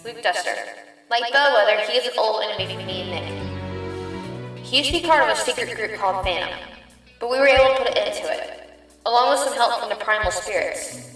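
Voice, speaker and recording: A woman's voice: fairly high pitch, fairly quick, fairly bright tone, recorded from a distance, close to an everyday voice. A woman voicing an earnest young female rabbit police officer, perky and easily exasperated, in an audio drama. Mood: weary, distrustful. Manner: perky, to the point. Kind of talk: fan audio drama acting. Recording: very echoey room, faint music.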